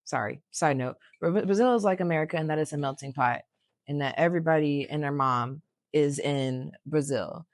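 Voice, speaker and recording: A young woman with a raspy voice, chatting bluntly and jokingly, swearing freely, as a podcast co-host. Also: low-pitched, moderate pace, no echo, clean studio sound. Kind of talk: casual chat.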